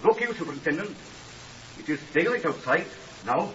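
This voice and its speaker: A meek, flustered Welshman in an old radio drama. His voice is fairly high, hesitant and mournfully deferential. He sounds set back from the microphone on a hissy recording. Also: lively intonation, normal speed, fairly bright tone. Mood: anxious, hesitant. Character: meek, flustered, mournful man, bereaved brother. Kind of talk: 1950s radio drama acting. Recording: hissy, muffled, distant 1950s radio recording.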